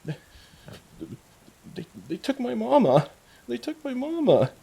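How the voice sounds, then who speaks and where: A man's voice: extremely high pitch, wildly swooping pitch, slow, gravelly, dark tone, slightly rough, voice pushed up, heavily acted. A man voicing a frightened young girl in a tabletop game, shaky, tearful and pleading. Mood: tearful, distressed. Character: frightened crying young girl searching for her mama. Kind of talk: tabletop role-play.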